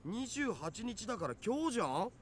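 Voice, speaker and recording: A man's voice: high-pitched, big pitch swings, rapid-fire, a bit bright, a little hiss or hum. An actor playing a casual, geeky young male hacker sidekick in a visual novel, with slangy, laid-back phrasing. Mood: casual, puzzled. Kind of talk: Japanese visual novel voice acting.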